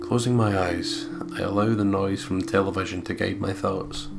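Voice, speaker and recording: A man's voice: low voice, steady tone, normal speed, vocal fry. A man hosting a let's play, reading visual novel narration aloud and slipping into casual, playful asides. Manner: playful, breaks into laughter and asides. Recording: guitar music under the voice.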